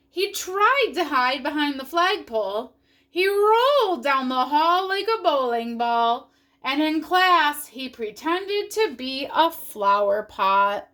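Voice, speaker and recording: A woman reading a storybook aloud for children in an animated narrating style. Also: high-pitched. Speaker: storybook reader.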